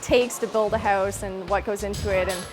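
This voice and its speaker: A woman reflects thoughtfully on what she has learned and where she wants to go next. Her voice has a middle pitch. Music plays clearly behind her. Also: fairly even intonation, brisk. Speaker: trades program student. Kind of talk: testimonial interview. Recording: music playing underneath.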